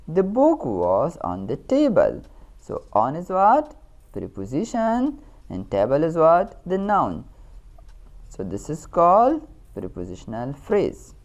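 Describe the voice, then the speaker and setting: A woman with a Pakistani accent giving a scripted grammar lesson as a teacher, in a deliberate, instructional manner. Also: sits low, a little mellow. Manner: instructional, deliberate, repeats key terms. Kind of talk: scripted lesson narration with examples.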